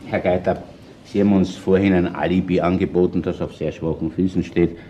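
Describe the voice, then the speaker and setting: A man acting as a shrewd Viennese police inspector in a radio crime play. He has a low voice and an Austrian accent, and he questions people with measured authority. The old recording sounds dull. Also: steady tone, mellow, slight background noise, natural voice. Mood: calm.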